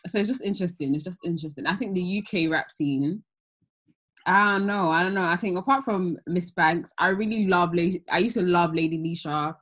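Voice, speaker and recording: Woman, husky, lower register, lively chat. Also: brisk. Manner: animated, heavy use of "like". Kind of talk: casual chat.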